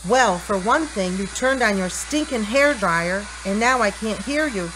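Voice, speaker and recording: A woman's voice: mid-range voice, lively intonation, unhurried, a bit bright, a little hiss or hum, poor audio. A woman playing a sassy, exasperated friend in an audio drama, blunt and teasing.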